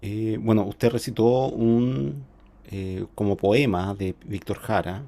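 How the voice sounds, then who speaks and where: A man in his forties with a Chilean accent, a student asking a hesitant, halting question in class. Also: lowish voice, gravelly, warm tone. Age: 40s. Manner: hesitant, halting, many fillers and restarts.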